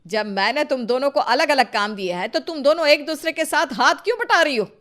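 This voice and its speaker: A woman voicing a domineering, nagging mother-in-law with a sharp, bossy manner in an animated family story. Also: high-pitched, fairly quick, pitched above the performer's own voice. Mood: angry, scolding. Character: scolding, scheming, domineering mother-in-law of two daughters-in-law.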